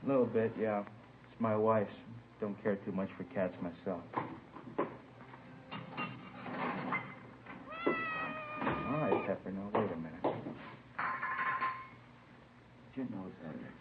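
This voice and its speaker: A middle-aged businessman played by a male actor talks in a curt, grudging way, irritable and evasive. His voice is lowish and quick. It sounds like a vintage radio crime drama with a narrow, tinny band. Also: very noisy, close to an everyday voice. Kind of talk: 1950s radio drama acting.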